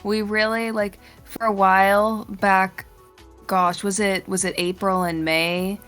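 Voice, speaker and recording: A woman's voice: medium pitch, level tone, takes it slowly, fairly bright tone, faint music behind. A woman co-hosting a fan podcast in casual, conversational speech. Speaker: podcast co-host.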